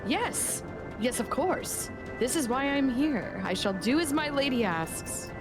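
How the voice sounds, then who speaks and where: A woman's voice: sits high, animated, slow. Playful woman in a mock-haughty voice. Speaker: streamer in a game party. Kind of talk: reading game dialogue aloud in a put-on voice, laughing with friends. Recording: loud game music behind.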